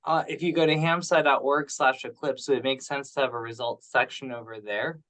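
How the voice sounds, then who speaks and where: A man's voice: mid-range voice, steady tone, a little fast, a bit bright. A man talking informally as a participant in an online meeting. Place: online conference call.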